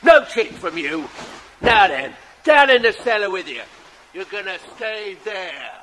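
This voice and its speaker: A man playing a spiteful, bossy horse thief in a children's audio drama, rough and menacing in manner. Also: sits high, sing-song, unhurried, a crowd in the background, poor audio. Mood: spiteful, threatening.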